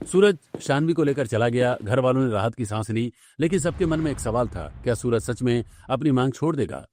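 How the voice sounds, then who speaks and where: A man's voice: mid-range voice, expressive, fairly quick, a little mellow, slight background noise. A man reading scripted narration for a family cartoon story.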